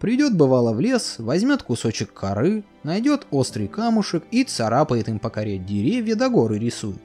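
A man telling a fairy tale as a storyteller, reading it aloud with lively expression. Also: medium pitch, moderate pace. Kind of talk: storybook narration. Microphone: voice-over. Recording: soft music behind.

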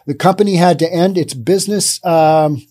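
A man in his thirties hosting a podcast, giving casual, sarcastic commentary on the news into a studio boom mic. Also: normal speed, warm tone. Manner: sarcastic, acts out imagined dialogue. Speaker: podcast host. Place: dim studio with brick wall and neon sign.

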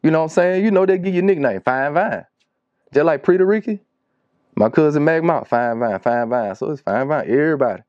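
A relaxed, mellow-voiced man in his thirties with a Louisiana Southern accent tells stories in loose, slangy conversation. The sound is close and dry, a little dull on top. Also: medium pitch, no echo. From Shreveport, Louisiana, United States. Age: 30s. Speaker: music producer, interview guest. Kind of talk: casual interview storytelling. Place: small wood-panelled recording studio.

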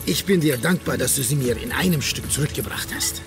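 Man as amused royal villain, animated swoops, gravelly edge, theatrical, radio drama, music behind. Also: mid-range voice, a little fast, close to an everyday voice. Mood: smug, savouring. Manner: theatrical, savouring his words. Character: wealthy, smug Emirati prince, amused and grandly regal.

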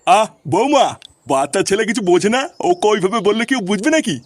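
Mild quick-talking old cartoon grandpa, voiced by a performer. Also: medium pitch, expressive, dry recording, lightly acted. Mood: coaxing. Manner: gentle, placating. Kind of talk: comedy cartoon dialogue. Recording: music behind the voice.

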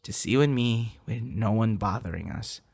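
A man playing a withdrawn, tender young man in an intimate boyfriend roleplay, speaking softly and haltingly. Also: fairly low pitch, creaky, husky. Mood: warm, upbeat. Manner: hesitant, halting, soft-spoken. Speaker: audio roleplay performer.

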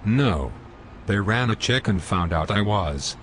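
A man's voice: lowish voice, expressive, a bit bright, a little hiss or hum. A man voicing a rude homeless bum. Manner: insulting, mumbling, slow and sulky. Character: rude scruffy homeless man who lies about everything. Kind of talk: amateur animated comedy voice.

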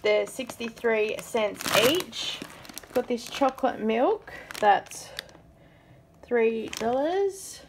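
A woman's voice: on the high side, animated, drawn-out words, noisy background. A woman chatting casually as she films the shelves on her phone, pointing out bargains with an easy laugh. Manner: chatty, thrifty, laughs now and then. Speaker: vlogger. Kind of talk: casual shopping commentary. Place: supermarket aisles, later at home. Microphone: phone camera held in hand.

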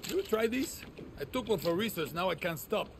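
An actor playing a wry older guerrilla with an offhand, sardonic way of talking. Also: male voice, high-pitched, animated, moderate pace, a little hiss or hum. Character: wry, grizzled older male guerrilla spymaster.

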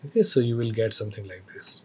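A man teaching a screen-recorded software tutorial, explaining each step with hesitant phrasing and fillers. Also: lowish voice, fast, breathy edge.

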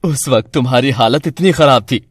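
Casting: concerned cartoon dad, played by a man, protective, persuasive, husky, close dry sound. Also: mid-range voice, fairly quick, no room sound, lightly acted. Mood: earnest, explaining. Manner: earnest, persuasive. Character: earnest, protective Indian husband and father.

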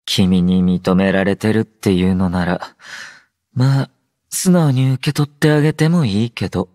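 An actor playing a drowsy, low-energy young male android soldier in an anime game, aloof yet gentle, with a languid, understated delivery. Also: unhurried, dry recording, clean studio sound, natural voice. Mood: calm, languid. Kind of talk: Japanese anime game character voice lines.

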